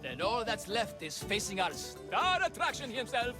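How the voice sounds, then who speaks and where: Really high voiced jittery con man, stammery salesman, game cutscene with music behind. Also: big pitch swings, normal speed. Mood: showy, nervous. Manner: hesitant, stammering salesman patter. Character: nervous, theatrical swindling huckster, a frightened father. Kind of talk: video game character acting.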